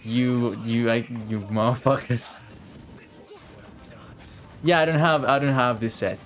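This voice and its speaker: A man's voice: mid-range voice, fairly even intonation, slow. A man giving casual live-stream game commentary in slangy, chatty language with plenty of swearing. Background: game music.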